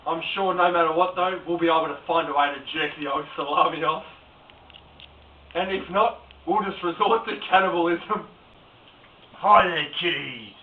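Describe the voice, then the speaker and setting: A man plays a crude doomsday prophet, deadpan, in a fairly high voice. The mock documentary sounds homemade, roomy and phone-thin. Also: moderate pace, lightly acted. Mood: mock-serious. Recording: echoey, distant, tinny, noisy home recording.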